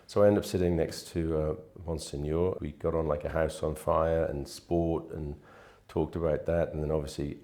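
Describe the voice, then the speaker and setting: A man in his fifties telling stories from his life in a relaxed, chatty interview, often restarting his sentences. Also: rumbling low, normal speed. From Wales. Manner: chatty, rambling with frequent restarts. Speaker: interviewee, real estate manager. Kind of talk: relaxed anecdotal storytelling.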